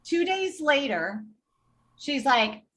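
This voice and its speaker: Animated higher-voiced woman telling a story. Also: takes it slowly. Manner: animated, re-enacts dialogue while telling a story. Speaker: healthcare advocate guest. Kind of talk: podcast interview storytelling.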